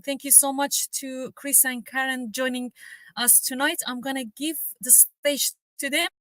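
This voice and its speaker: A woman speaks upbeat on a live video call. Her voice is bright and fairly high, and the sound is close and dry with no room. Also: no room sound, rough recording. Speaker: co-host.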